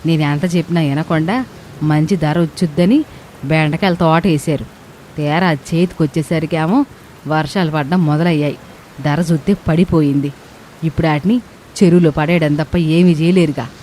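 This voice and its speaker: A woman's voice: low voice, fairly even intonation, normal speed, mellow, slight background noise, voice pushed down, natural voice, low-quality recording. A woman voicing a stern, sulking older mother-in-law who scolds and demands in a dramatised family story. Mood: bitter, reproachful. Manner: scolding, questioning. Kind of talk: dramatised moral story dialogue.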